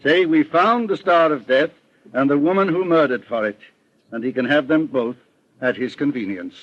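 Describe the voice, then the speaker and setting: A man playing a kindly old detective, calm and courteous, in old-time radio drama style on a vintage recording. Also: fairly high pitch, moderate pace, highs cut off, background noise, lightly acted. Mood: calm, measured.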